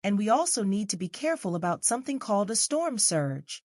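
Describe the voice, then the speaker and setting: A woman voicing a calm, serious and reassuring mother who explains things instructively in a children's storybook. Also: mid-range voice, brisk, no echo, clean studio sound.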